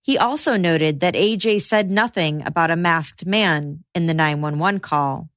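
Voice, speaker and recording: A woman hosting a podcast, reading scripted true crime narration in a measured, matter-of-fact way. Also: fairly low pitch, fairly bright tone, no echo, clean studio sound.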